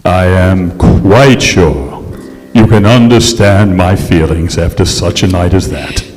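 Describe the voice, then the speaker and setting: A man's voice: low-pitched, takes it slowly, a little mellow, soft background music. A man acting a haughty, aggrieved aristocratic ghost who narrates his hauntings pompously in a staged old-time radio play. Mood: wounded, indignant. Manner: pompous, florid, theatrical. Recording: echoey hall stage recording.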